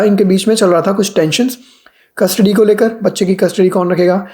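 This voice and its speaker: A man's voice: high voice, dark tone. A man with an Indian accent hosting a channel, giving casual news commentary and slipping between two languages as he explains. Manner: code-switches between Hindi and English. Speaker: vlogger, channel host.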